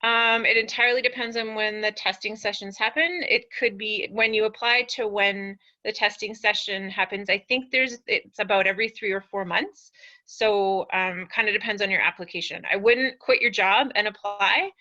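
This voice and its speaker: Woman chatting on a panel, bright forceful voice, lo-fi call sound. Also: mid-range voice, steady tone, normal speed. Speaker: air traffic controller, panelist. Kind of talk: casual unscripted panel answers. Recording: dull lo-fi webinar audio.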